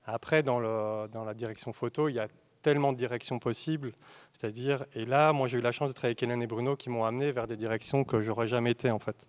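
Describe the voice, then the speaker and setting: A man's voice: medium pitch, steady tone, fast. Man explaining his craft informally. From Belgium. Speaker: cinematographer, masterclass guest. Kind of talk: informal explanatory talk to an audience. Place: arts venue, live masterclass.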